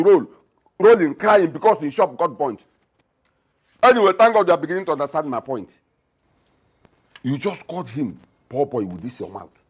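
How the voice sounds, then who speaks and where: A man's voice: fairly high pitch, husky. An actor playing a mocking, bossy father, sarcastic and stammering when worked up. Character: scornful middle-aged father, mocking, bossy.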